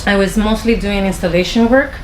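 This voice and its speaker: A woman from Chile with a Spanish accent, introducing her films to an audience in a small venue, with many pauses and restarts. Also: medium pitch, reverberant, a little hiss or hum, poor audio. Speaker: filmmaker introducing her screening. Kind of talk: informal talk to an audience.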